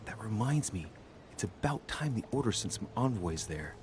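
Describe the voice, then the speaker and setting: A brooding young noble sleuth. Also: male voice, fairly low pitch, very animated. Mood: musing. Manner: measured, musing, often hushed. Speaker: game protagonist. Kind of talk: video game voice acting. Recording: game audio with background noise.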